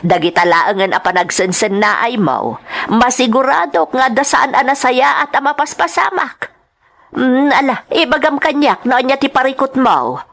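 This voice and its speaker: A woman's voice: high-pitched, expressive, moderate pace, a bit bright, pitched above the performer's own voice. A woman playing a concerned village mother in a radio-style drama, coaxing and fussing, with an Ilocano accent.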